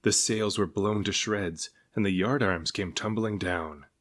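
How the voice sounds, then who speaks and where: A man with a low-pitched voice narrates a children's picture book. He reads it aloud as scripted storytelling, his voice sitting well down in the male range. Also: unhurried. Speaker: storybook reader.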